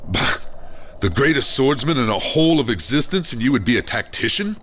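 An actor playing a hearty, authoritative royal advisor in a fantasy audio drama, speaking in a commanding, formal manner. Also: male voice, medium pitch, sing-song, fairly quick, a bit bright. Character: hearty, authoritative king's right-hand nobleman, old friend.

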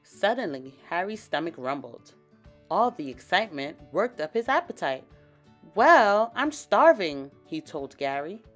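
A woman storyteller reading a children's picture book aloud with expressive, dramatic emphasis. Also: low voice, moderate pace, soft background music.

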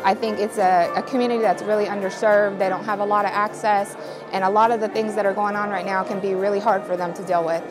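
A woman's voice: medium pitch, level tone, quick pace. Young woman with music behind her. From California, USA. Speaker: law school graduate. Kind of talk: interview testimonial.

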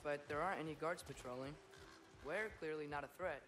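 A man's voice: on the high side, a little fast, noisy background, close to an everyday voice. An actor playing a curious young male companion in a video game, asking questions. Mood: questioning. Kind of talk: game voice acting. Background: game music.

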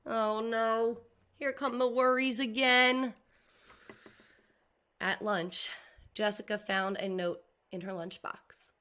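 A middle-aged woman reading a children's picture book aloud like a teacher, expressively and with deliberate enunciation. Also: on the high side, smooth. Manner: deliberate enunciation, animated for young listeners. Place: indoors, video recording.

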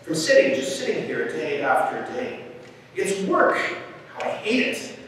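A man's voice: high-pitched, big pitch swings, measured pace, a bit bright, pitched above the performer's own voice, full character voice. A man voicing a lazy, whiny female bird in a theatrical, wheedling storybook manner. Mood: bored, complaining. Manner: theatrical, pleading complaint. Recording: very echoey hall, distant, faint noise.

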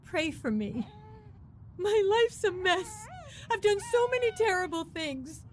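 A woman's voice: extremely high pitch, very animated, slow pace, slight background noise, exaggerated voice. An actress narrating and playing a troubled young mother in a radio drama, close to tears and emotional. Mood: upset. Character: troubled young mother leaving her husband. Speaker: narrator and lead character.

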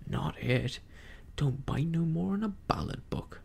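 A man voicing a crafty, domineering pirate sea cook in a storybook, taunting in rough nautical dialect with a husky, gravelly voice. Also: mid-range voice, big pitch swings, a little slow, slight background noise. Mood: derisive.

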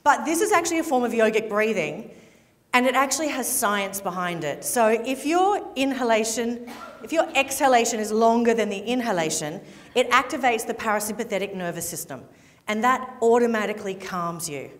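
Australian woman, keynote speaker, chatty and animated, headset mic, reverberant event hall. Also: on the high side, a little fast. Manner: animated, chatty, gesturing on stage. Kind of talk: live keynote speech to an audience. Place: large event stage with a live audience.

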